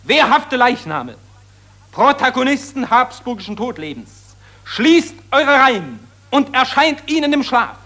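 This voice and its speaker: An actor playing an impassioned satirist who accuses warmongers and summons the war dead, declaiming solemnly in 1940s German radio drama style. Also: male voice, extremely high pitch, very animated, measured pace, heavily acted. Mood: fiery rallying cry.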